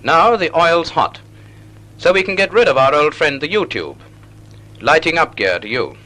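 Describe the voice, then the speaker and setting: A British man narrates an old naval training film from a script. His voice is bright and sits a little high, with animated, swooping intonation. He phrases everything in a measured, didactic way, as an instructor would. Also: low-quality recording. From United Kingdom.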